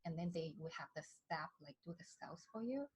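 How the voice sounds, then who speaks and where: A woman's voice: lowish voice, animated, a little fast, darkish tone, husky. A woman in her thirties from southern China, speaking English with many fillers and restarts as a guest in a casual interview. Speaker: skincare brand founder, guest.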